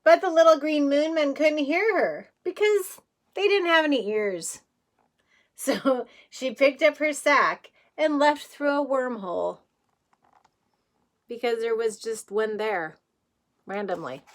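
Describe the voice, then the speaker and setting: A woman's voice: high voice, lively intonation, slow. A woman teacher reading a picture book aloud to young children in a playful way, with chatty asides and questions.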